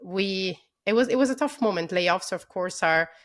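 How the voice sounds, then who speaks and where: Keep it warm and eager: an Italian woman talking fast and open, with lots of "um" and "like", as a guest on a video call. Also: mid-range voice, animated. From Italy. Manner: enthusiastic, many fillers like "um" and "like". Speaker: podcast guest. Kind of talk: casual interview conversation.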